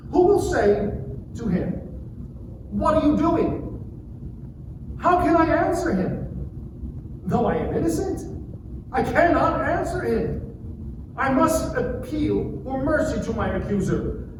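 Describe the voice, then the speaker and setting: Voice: high-pitched, very animated, a little slow, long pauses. A performer in a dramatic scripture reading, playing an anguished man who pleads with God in solemn, impassioned phrases. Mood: resigned awe. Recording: distant, very echoey, noisy live room.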